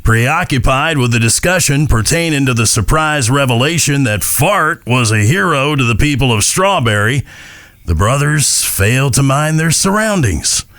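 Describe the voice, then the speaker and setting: An actor playing a folksy, solemn Old West narrator, telling a scripted audio drama with portentous delivery. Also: male voice, medium pitch, animated, moderate pace, fairly bright tone. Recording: guitar music behind.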